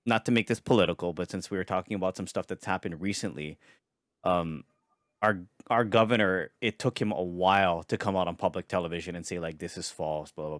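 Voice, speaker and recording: A man's voice: low-pitched, a bit bright, no room sound, clean studio sound. A man from Hawaii co-hosting a podcast, telling a story earnestly and swearing freely. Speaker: podcast co-host. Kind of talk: casual chat.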